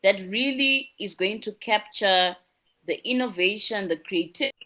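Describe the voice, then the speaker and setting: A woman's voice: mid-range voice, normal speed, pushed and bright. A woman with a Zimbabwean accent, a guest speaking earnestly in an interview and often repeating words and asking "right?". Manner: earnest, repeats words when searching, frequent tag "right?". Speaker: guest, youth non-profit founder. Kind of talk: conversational interview.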